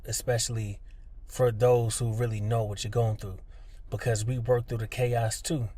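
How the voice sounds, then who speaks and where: Husky-voiced man giving a motivational talk. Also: medium pitch, a little mellow, no echo. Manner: earnest, plainspoken, emphatic, addresses listener directly. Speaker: podcast host, freelancer. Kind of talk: motivational podcast monologue, conversational.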